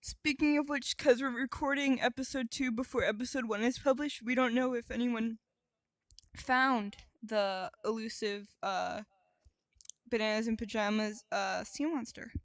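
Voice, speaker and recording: A woman with a fairly high voice chats casually as a podcast co-host. She rambles and jokes, drifting into tangents. The sound is dry, with very little room to it. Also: fairly even intonation, a little slow, no room sound. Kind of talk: casual, joking podcast chat.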